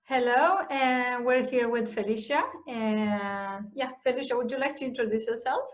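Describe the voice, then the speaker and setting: Woman interviewer, higher voice, brief prompting questions, muffled lo-fi video-call sound. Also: normal speed. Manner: brief prompts and affirming murmurs. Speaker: interviewer, conference host. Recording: dull lo-fi call audio.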